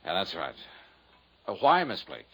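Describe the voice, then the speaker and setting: A man's voice: sits low, huge pitch swings, measured pace, sharp tone, heavily acted. A man playing a wry, self-assured insurance investigator with clipped delivery, in 1950s radio detective style. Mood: polite, wry. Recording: noisy vintage radio drama recording.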